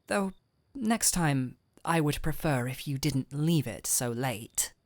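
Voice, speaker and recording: Slow, low-voiced gentle fantasy physician played by a woman. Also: expressive, no echo. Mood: coaxing, tender. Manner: gentle, unhurried, soothing. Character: gentle, caring fantasy physician woman, patient and softly teasing. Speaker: audio roleplay voice actor. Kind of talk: intimate scripted audio roleplay.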